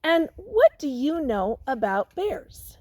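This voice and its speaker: A woman's voice: high-pitched, big pitch swings, a little mellow, dry recording. A woman teacher reading a picture book aloud to young children, stopping to ask them questions and prompt them. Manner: pauses to question and prompt listeners.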